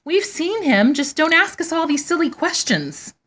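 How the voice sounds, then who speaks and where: A woman's voice: high-pitched, big pitch swings, moderate pace, fairly bright tone, pitched above the performer's own voice. A woman voicing vain, cruel young sisters from a storybook, haughty and whiny in manner. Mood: indignant whining. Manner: mocking, boastful, whining when challenged. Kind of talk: storybook character voice.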